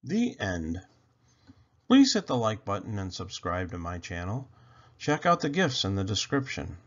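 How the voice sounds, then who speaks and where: A man reading a children's picture book aloud in a slow, expressive storytelling voice. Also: lowish voice. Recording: dull lo-fi sound.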